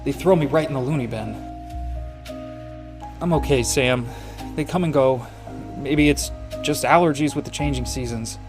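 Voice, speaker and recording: An actor playing a troubled private detective with memory gaps in a noir audio drama, brooding and confessional. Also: male voice, mid-range voice, normal speed, roomy sound, over music, natural voice. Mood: defensive. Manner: brooding, confessional inner monologue.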